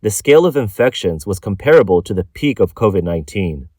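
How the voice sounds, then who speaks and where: A man's voice: lowish voice, sing-song, brisk, a little mellow, no room sound, studio quality. A man reading a scripted news narration as the narrator of a report.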